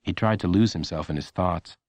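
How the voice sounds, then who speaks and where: A man narrating a children's audiobook in an expressive, measured storytelling manner. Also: sits low, dry recording.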